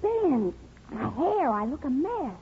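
An actress playing a devoted, slightly wistful young newlywed wife in a 1950s radio drama. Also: female voice, high-pitched, big pitch swings, takes it slowly, a little hiss or hum, exaggerated voice. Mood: flustered. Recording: dull 1950s radio recording with music.

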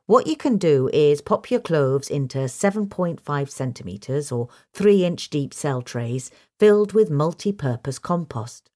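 A woman's voice: low voice, darkish tone. A woman with a British accent reading a scripted instructional magazine article as narrator.

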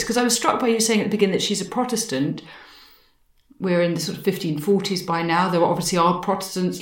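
A woman's voice: medium pitch, far from the mic. Woman host, echoey and off-mic. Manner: thoughtful, summarizing. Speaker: podcast host. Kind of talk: interview questions.

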